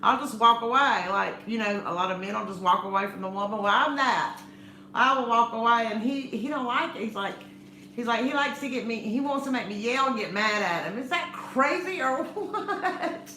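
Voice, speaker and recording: A middle-aged woman talks loudly and chattily, often teasing and laughing. Her voice is bright and a little high. It rings in an echoey room over a faint hum. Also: normal speed. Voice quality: loud voice. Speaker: channel co-host. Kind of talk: casual chat.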